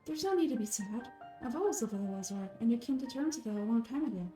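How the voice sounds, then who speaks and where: A woman voicing a gentle, frail young woman in a fantasy game, speaking in a soft, measured, wistful and earnest way. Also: on the high side, animated, soft background music, natural voice. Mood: resigned, tender.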